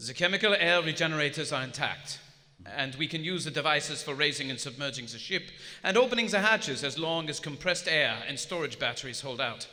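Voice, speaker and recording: A man's voice: fairly high pitch, expressive, fairly quick. A man acting a dutiful, strained submarine lieutenant, formal and deferential, in live radio theater. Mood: grim. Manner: deferential, formal military reporting. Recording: echoey theater hall, loud hiss.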